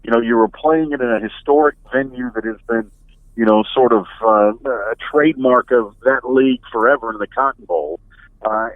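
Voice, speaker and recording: A middle-aged man speaks over a slightly muffled, dry phone connection. He has a mid-pitched voice and talks like a radio sports announcer being interviewed, conversational and analytical. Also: no echo. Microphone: telephone. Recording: band-limited phone line.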